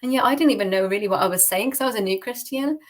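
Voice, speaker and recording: A woman's voice: medium pitch, very fast. A British woman giving personal testimony as a podcast guest, informal and chatty, often saying "like" and voicing her own thoughts. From United Kingdom. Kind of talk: personal testimony in casual conversation.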